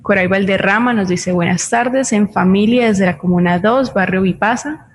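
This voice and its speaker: A woman's voice: medium pitch, moderate pace. A woman with a Colombian accent co-hosting, reading out audience greetings and comments.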